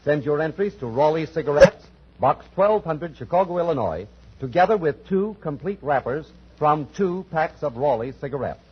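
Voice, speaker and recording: A man's voice: a little high, expressive, moderate pace, breathy edge. An American man reading a 1940s radio advertising announcement as a commercial announcer. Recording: muffled 1940s radio broadcast recording.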